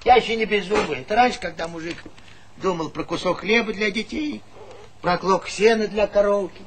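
Cocky old village grandpa, high-pitched. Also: male voice, moderate pace. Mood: boastful, defiant. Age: older. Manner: folksy, argumentative, blustering. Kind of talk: theatrical comedy stage acting. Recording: noisy 1970s stage play recording.

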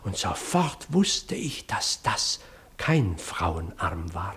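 A man's voice: deep voice, big pitch swings, fairly bright tone, full character voice. An actor playing a flustered, pedantic parson who tells his dramatic story in in a radio play. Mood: bewildered. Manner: fussy, dramatic, halting when agitated.

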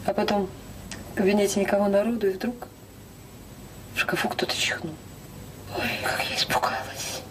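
An actress playing a loving, anxious middle-aged mother in a Soviet audio drama, speaking softly and intimately. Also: flat delivery, moderate pace, pauses often, close to an everyday voice. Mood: tender, wistful. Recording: noisy old recording with low rumble.

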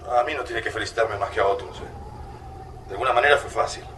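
An actor with an Argentine accent playing a weary, blunt, homesick resistance fighter in a radio drama. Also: male voice, fairly low pitch, brisk, lightly acted. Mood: frank. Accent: Argentine Rioplatense. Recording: noisy, distant radio drama mix.

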